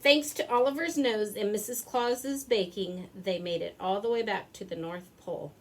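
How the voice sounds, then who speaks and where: A woman's voice: medium pitch, normal speed. A woman narrating a children's storybook expressively, drawing words out for effect. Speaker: storybook reader. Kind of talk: animated children's read-aloud narration.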